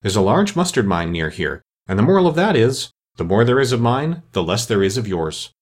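A man's voice: fairly low pitch, big pitch swings, brisk. A man voicing a pompous, self-satisfied older Duchess in a storybook, fond of moralizing and eager to agree. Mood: smug, agreeable. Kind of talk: storybook character reading.

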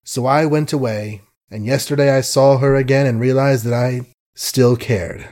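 Storybook lovesick young gentleman, self-mocking and impassioned, voiced by a male reader. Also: mid-range voice, fairly even intonation, normal speed, close to an everyday voice. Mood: desperate. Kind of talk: audiobook character voice.

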